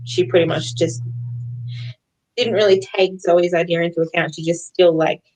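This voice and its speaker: A woman's voice: on the low side, fairly even intonation, moderate pace, darkish tone, rough recording. A woman co-hosting a book club, chatting casually about novels and often giggling as she talks. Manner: giggly, often laughing while talking.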